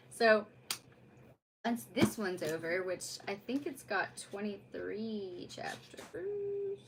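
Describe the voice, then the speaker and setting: A woman's voice: medium pitch, sing-song, extremely slow. Gushing woman streamer over background noise. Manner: gushing, effusive, rambling. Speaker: streamer hosting a live read-aloud. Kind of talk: casual livestream chat.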